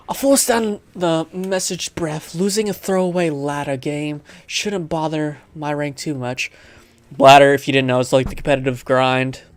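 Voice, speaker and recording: A man's voice: a little high, gravelly, no echo. A man hosting a gaming video, chatting casually and reading game text aloud, often stumbling over the words as he goes.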